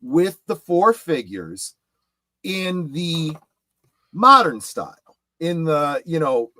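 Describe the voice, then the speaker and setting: A middle-aged man in casual panel chat, speaking in a halting but emphatic way. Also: a little high, very animated, sharp tone. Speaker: show panelist. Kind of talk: casual chat about collectibles.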